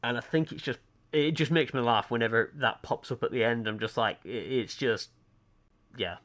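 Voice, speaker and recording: A man's voice: medium pitch, moderate pace, fairly bright tone. A man from Lancashire with a Lancashire accent, chatting casually and hesitantly to viewers on a livestream, full of ums. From Lancashire, England. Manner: rambling, chatty, self-deprecating, hesitant with frequent ums. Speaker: art livestreamer. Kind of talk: casual chat to stream viewers. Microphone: desk mic, webcam off.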